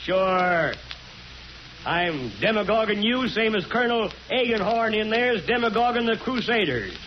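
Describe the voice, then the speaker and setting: Folksy high-pitched mayor on old radio. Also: male voice, lively intonation, normal speed. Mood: earnest, defiant. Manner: folksy oratory, colloquial and forceful. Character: folksy, stubborn small-town mayor defending free assembly. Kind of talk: 1940s radio drama acting, public speech. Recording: dull, noisy 1940s radio recording.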